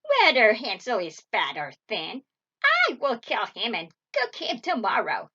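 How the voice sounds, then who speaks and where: A woman's voice: a little high, wildly swooping pitch, unhurried, pushed and bright, slightly rough, lo-fi sound, full character voice. A woman voicing a mean old storybook witch, cruel and impatient, barking commands or coaxing with false sweetness. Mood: harsh, menacing.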